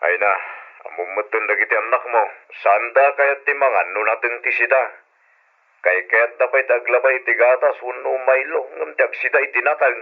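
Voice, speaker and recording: Young father male actor, radio drama: affectionate, respectful, medium-pitched voice, dull recording. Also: normal speed, lightly acted. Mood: affectionate, easygoing.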